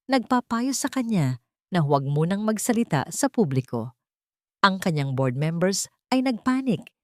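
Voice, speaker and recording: A woman's voice: fairly low pitch, a little mellow, dry recording, studio quality. A woman narrating an audiobook with dramatic, scripted delivery.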